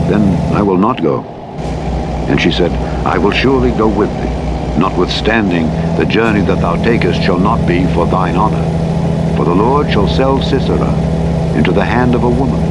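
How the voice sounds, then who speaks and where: A man narrating the Bible as an audiobook in archaic English, with a solemn, measured, formal delivery. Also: on the low side. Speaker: scripture narrator. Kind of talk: scripted Bible audiobook reading, King James English. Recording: vehicle engine noise throughout. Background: truck driving noise from a simulator game.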